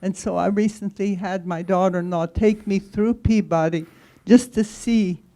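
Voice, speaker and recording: A woman's voice: mid-range voice, steady tone, moderate pace, mellow. A woman in her eighties or older from rural Kansas, a native German speaker, reminiscing at a book talk. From rural Kansas, United States. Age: over 80. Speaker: audience member sharing memories.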